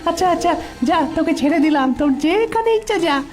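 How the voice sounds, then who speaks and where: A woman's voice: very high-pitched, expressive, a little fast, a little mellow, faint music behind. A woman voicing a crude male bandit henchman who stammers and cackles in a cartoon.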